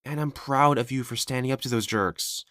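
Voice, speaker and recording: A woman playing an excitable, cheerful young monster gamer in an audio roleplay, eager and supportive. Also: rumbling low, moderate pace, no room sound, clean studio sound. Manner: eager, enthusiastic.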